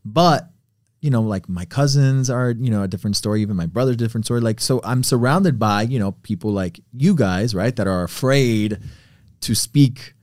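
Young guy hosting a chat, upbeat and encouraging, mid pitch, dry close mic. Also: moderate pace, darkish tone, no echo. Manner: upbeat, affirming, many fillers like "right?". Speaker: podcast host. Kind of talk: casual chat.

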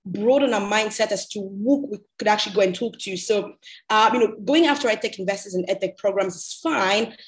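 A woman's voice: medium pitch, fairly quick, rough recording. A young woman from Cameroon giving candid, informal interview answers as a podcast guest, explaining things in a conversational way.

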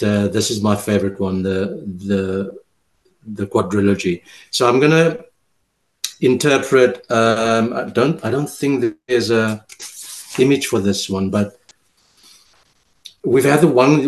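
Slow, low-voiced South African poet. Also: male voice, rough recording. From Cape Town, South Africa. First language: Afrikaans. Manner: hesitant, halting with many fillers and restarts. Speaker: poet, guest. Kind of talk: casual interview, reading his poem aloud.

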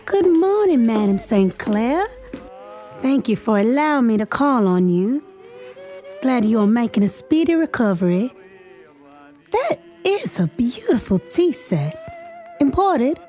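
A woman's voice: fairly high pitch, big pitch swings, slow, long pauses, dark tone, soft background music. An actress playing an eager, spirited young woman writer in an audio drama, polite and persuasive as she seeks patronage. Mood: bright, polite.

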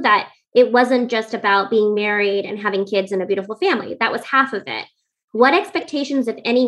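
Woman, interview host, polished, scripted intro, steady questions, mid pitch. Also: poor audio.

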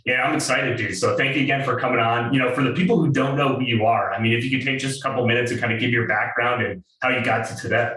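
A man's voice: mid-range voice, steady tone, quick pace, hardly any pauses, sharp tone, recorded from a distance. Enthusiastic male podcast host, roomy sound. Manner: enthusiastic, emphatic. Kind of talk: podcast intro and interview chat.

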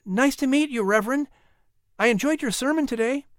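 A bright, polite young colonial boy who answers plainly and without fuss, as a man puts him on. The voice is lifted high above the reader's own. The recording is close and dry. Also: brisk, dry recording. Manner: polite, matter-of-fact.

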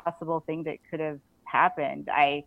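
A woman's voice: deep voice, flat delivery, normal speed, vocal fry, dry recording. A woman chatting casually and enthusiastically as an interview guest, in an informal way. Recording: narrow phone-like call audio.

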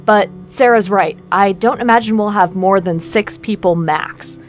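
An easygoing, capable female break-in specialist played by an actress in an audio drama. Her mid-pitched voice is casual and practical, with light music behind it. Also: measured pace, close to an everyday voice. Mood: practical, focused. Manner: casual, friendly teasing, practical.

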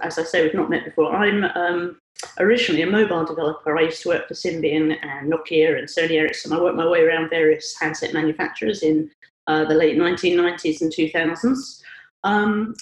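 Polite podcast host woman, roomy sound. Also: lowish voice. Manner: polite, measured, with some "um"s. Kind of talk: podcast hosting.

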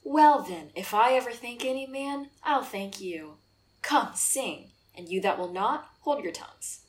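A woman's voice: fairly high pitch, lively intonation, unhurried. A woman playing a melancholy, sardonic male courtier in a Shakespeare radio play, with a wry, insistent manner. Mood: eager, insistent. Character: melancholy, sardonic courtier, a brooding cynical man.

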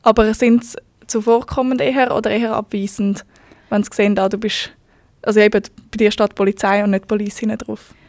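A woman's voice: mid-range voice, little pitch movement, fairly quick, a little mellow, dry recording. A woman with a Swiss German accent, hosting a podcast and asking short questions. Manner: brief questions and backchannels. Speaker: podcast host. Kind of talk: interviewing.

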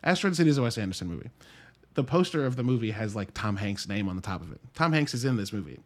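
A man's voice: fairly low pitch, big pitch swings, fast talker. A man giving a casual solo movie review in a conversational, wry way, breaking into his own thoughts with dry asides. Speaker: podcast host and movie reviewer.

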